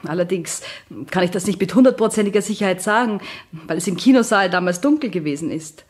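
Woman as unsure young bank clerk witness in radio crime drama, medium pitch, choosing words carefully. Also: fast talker, breathy edge, lightly acted. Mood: uncertain. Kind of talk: radio crime docudrama acting.

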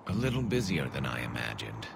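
A man playing a wry, cocky, loyal alien sharpshooter in a video game, with gravelly, flanged voice and dry teasing banter. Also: a bass voice, big pitch swings, brisk, background noise, full character voice. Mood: reflective. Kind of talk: video game dialogue acting.

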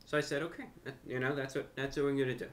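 A young man talking unscripted to camera as a host, with deliberate, slightly formal phrasing, pausing and repeating words. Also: mid-range voice, steady tone, a bit bright. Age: young adult. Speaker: YouTube host and amateur radio broadcaster. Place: furnished room at home, indoors.

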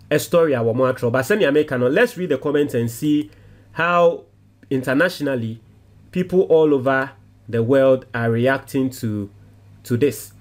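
A man with a Ghanaian accent reading social media comments aloud and reacting in animated, casual commentary. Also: medium pitch. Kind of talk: casual commentary mixing English and Twi.

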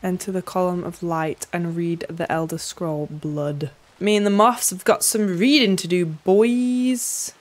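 A woman's voice: fairly low pitch, a little slow, few pauses. A young woman giving casual gameplay commentary, playful and often muttering to herself. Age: young adult. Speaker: game streamer. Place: small room at home. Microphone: desk mic close up, headset worn.

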